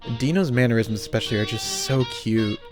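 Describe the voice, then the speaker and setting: A man's voice: medium pitch, a little fast, music in the background. A man giving casual, sweary reaction commentary with exclamations and sarcastic asides. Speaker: YouTube reactor and reviewer.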